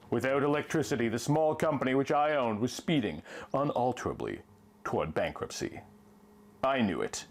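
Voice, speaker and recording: A man reading a pulp adventure story in a theatrical, emphatic first-person style, drawing out the ends of phrases. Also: mid-range voice.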